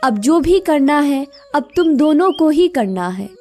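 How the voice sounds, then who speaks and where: A patient, earnest village widow played by a woman narrator from a cartoon moral tale. She instructs her grown sons slowly, in a raised, high voice. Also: big pitch swings, darkish tone, soft background music. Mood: worried, urging.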